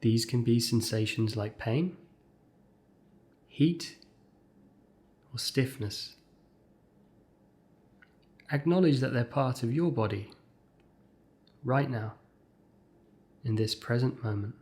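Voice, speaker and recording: A man guides a mindfulness exercise at a medium pitch. He gives scripted instructions in measured, short phrases. Gentle pauses separate each step of the meditation.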